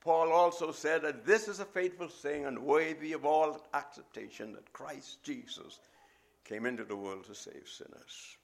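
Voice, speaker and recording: Gravelly Bahamian preacher man. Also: on the high side. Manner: measured, emphatic preaching with drawn-out phrases. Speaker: visiting preacher. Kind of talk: sermon.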